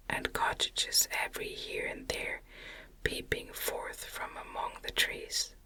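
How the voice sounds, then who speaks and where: Slow, crisp whispering woman reader. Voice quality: breathy unvoiced whisper. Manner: gentle, measured. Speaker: audiobook reader. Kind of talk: ASMR whispered reading of a gothic novel.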